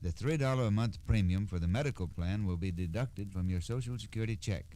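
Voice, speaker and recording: A man's voice: on the low side, fast talker, warm tone. A man speaking as a guest spokesman on 1960s radio, explaining formally from a script. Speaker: Social Security spokesman guest.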